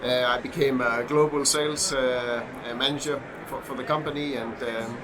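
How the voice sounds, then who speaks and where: Middle-aged Danish man, halting speech. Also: medium pitch, fairly even intonation. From Denmark. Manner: hesitant, many fillers and restarts. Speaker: guest. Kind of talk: casual podcast interview. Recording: noisy background hum.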